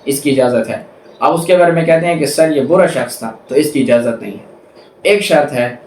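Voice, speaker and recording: A man's voice: medium pitch, a little mellow. A man with a Pakistani accent giving an explanatory lecture in a didactic way, repeating points for emphasis. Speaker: law lecturer. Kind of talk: explanatory lecture, reading statutes and paraphrasing them. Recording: echoey room with faint background noise.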